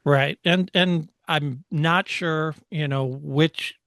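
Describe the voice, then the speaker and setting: A man co-hosting a casual technical discussion, explaining things in a halting way with frequent pauses. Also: a little high, fairly even intonation, creaky, a bit bright, no echo, clean studio sound. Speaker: co-host explaining motorsport technology.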